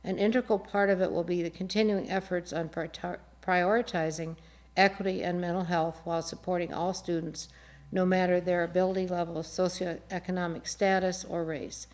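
A woman moderating a formal forum in a measured, deliberate way, reading prepared words with small stumbles. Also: lowish voice, steady tone, darkish tone. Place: TV studio.

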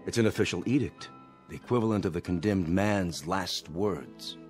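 Actor as a deep-voiced, sober prisoner. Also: male voice, moderate pace, faint music behind, close to an everyday voice. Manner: matter-of-fact. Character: sober, matter-of-fact condemned fellow prisoner. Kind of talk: radio drama acting.

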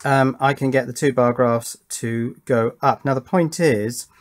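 A middle-aged man talks casually through a hands-on test at a workbench. His voice sits at a medium pitch. He thinks aloud in an informal, self-deprecating manner, as a hobbyist presenter would. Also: steady tone, measured pace. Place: indoors at an electronics workbench.

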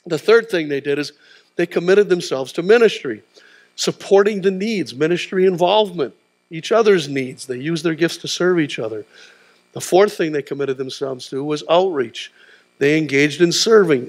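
A middle-aged man preaching a sermon in a large church auditorium, prodding the crowd with questions like "huh?" as he paces the stage. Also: fairly high pitch. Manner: call-and-response preaching, tag questions like "huh?". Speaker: pastor.

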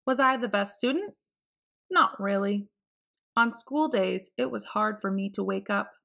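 A woman reading a picture book aloud for children, with clear, expressive phrasing. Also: mid-range voice, unhurried. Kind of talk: read-aloud storybook narration.

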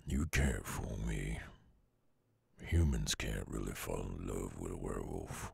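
A man playing a weary, centuries-old werewolf with a gruff Southern drawl, halting and tender in an intimate ASMR roleplay. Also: very deep voice, expressive, darkish tone, no echo, exaggerated voice. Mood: gruff, resigned. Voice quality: very gravelly, husky growl. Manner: halting, brooding drawl with long pauses. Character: gruff weary three-hundred-year-old male werewolf, guarded yet tender.

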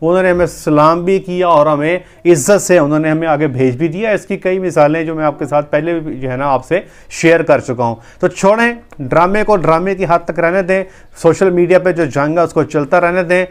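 A man's voice: fairly high pitch, fairly quick, few pauses, clear, resonant tone. A man, a veterinary doctor talking casually to camera in a small room on a lapel mic, earnest and gesturing as he explains. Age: 30s. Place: small indoor room with wood-panelled wall.

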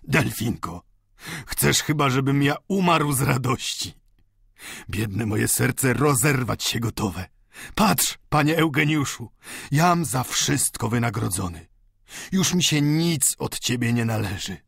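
Doting elderly father, acted by a male narrator, audiobook drama: husky, creaky, effusive, fervently tender. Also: medium pitch, animated, takes it slowly, fairly bright tone, no echo, studio quality, full character voice. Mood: overjoyed. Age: older. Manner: effusive, pleading, sentimental. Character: doting, tearful old father, fervently devoted to his daughter.

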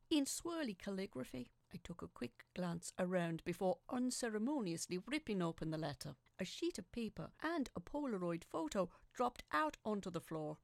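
A woman reading scripted first-person fiction as a dramatic narrator, with a deliberate, suspenseful, theatrical delivery. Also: mid-range voice, vocal fry, dry recording.

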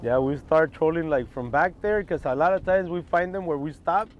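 A man's voice: on the high side, moderate pace, dull audio. A man with a Mexican accent, a native Spanish speaker, chatting casually as a fishing guide out on a boat. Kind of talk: casual chat while guiding. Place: outdoors on a boat at sea. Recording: faint outdoor boat noise.

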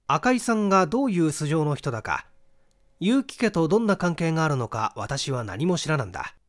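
A man's voice: fairly high pitch, very animated, brisk. A man reading a mystery novel aloud as scripted literary narration. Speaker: audiobook reader.

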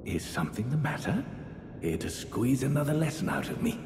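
An older man playing a genteel, eerie aristocratic sorcerer priest in a fantasy game, speaking in theatrical archaic phrases with a breathy voice that drops toward whispers. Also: very animated, a little fast, natural voice. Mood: gently indulgent. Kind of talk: dramatic fantasy game character dialogue. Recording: echoey hall reverb, faint rumbling noise.

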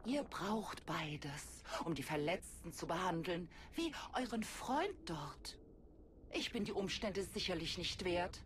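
An actress playing a haughty, sardonic villainess in a game, speaking in a theatrical, condescending way. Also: female voice, lowish voice, animated, slow pace, slight background noise. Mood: weary, dismissive. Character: haughty, sardonic female Sith villain, wounded but scornful. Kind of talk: dramatic game voice acting.

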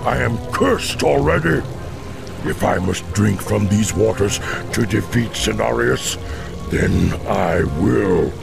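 An actor playing a proud, fierce orc warlord in a video game, rough-voiced, intense and declamatory. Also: male voice, lowish voice, lively intonation, slow pace, over music, heavily acted. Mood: grim resolve. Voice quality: rough, gravelly.

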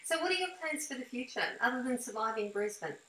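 Woman, middle-aged, forceful bright tone, conversational interviewer, roomy video-call sound. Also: fairly high pitch, expressive, fast talker. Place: indoor home room. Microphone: computer mic on a video call. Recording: echoey room on video call.

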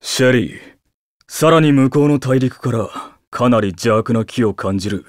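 An actor playing a brusque, impatient demon fighter in an anime game, rough-spoken and contemptuous. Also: male voice, mid-range voice, moderate pace, no room sound, clean studio sound, close to an everyday voice. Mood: cold menace.

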